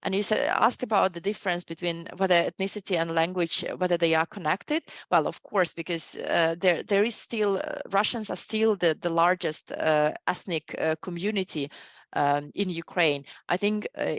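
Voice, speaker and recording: A middle-aged woman historian speaks in a lowish voice, answering slowly with frequent ums and restarted phrases. Her audio comes through a dry, dull video call line. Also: no room sound. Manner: hesitant, many fillers and restarts. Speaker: guest historian. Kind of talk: academic interview answer.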